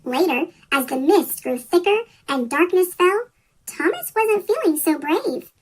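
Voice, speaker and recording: A children's story reader with a pitch-shifted chipmunk voice, reading a picture book aloud from a script. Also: lively intonation, measured pace. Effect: sped-up chipmunk pitch shift.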